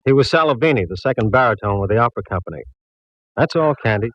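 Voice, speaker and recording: An actor playing a police lieutenant who talks in a dry, matter-of-fact way in an old radio drama. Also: male voice, medium pitch, expressive, fast talker, no room sound, highs cut off, natural voice. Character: gruff police lieutenant Mallard.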